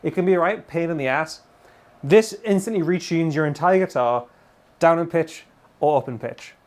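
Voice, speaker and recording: Higher-pitched British man demoing guitar gear. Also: moderate pace, a little mellow. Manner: enthusiastic, chatty. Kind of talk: informal product demonstration. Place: small home studio full of guitars and amps. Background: electric guitar playing.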